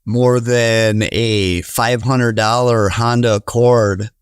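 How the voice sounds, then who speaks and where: A man's voice: medium pitch, fairly even intonation, slow, clear, resonant tone, dry recording, clean studio sound. A man in his thirties with a Wisconsin accent, a guest on a podcast interview, talking earnestly in a rambling way with many restarts and fillers. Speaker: podcast guest, real estate investor. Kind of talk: casual conversational interview.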